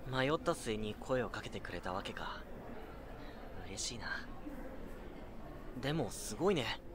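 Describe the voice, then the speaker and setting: A man playing a mild, modest 19-year-old in an amateur audio drama, with a casual, gentle, self-effacing manner. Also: on the low side, lively intonation, unhurried, noisy background. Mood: friendly, modest. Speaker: narrator and lead actor in an audio drama. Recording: music behind the voice.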